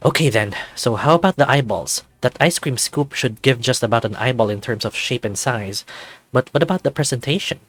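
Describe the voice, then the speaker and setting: A man's voice: mid-range voice, animated, brisk, no room sound, natural voice. A man voicing an anxious, tipsy vampire bat husband in a visual novel, fretful and flustered. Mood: flustered, thinking aloud.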